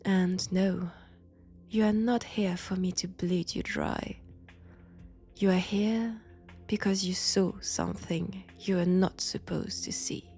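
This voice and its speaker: A woman voicing a seductive, menacing ancient vampire in an intimate audio roleplay, with a French accent and a breathy delivery that dips into whispers. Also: on the low side, fairly even intonation, slow pace, darkish tone, soft background music. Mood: hushed, menacing.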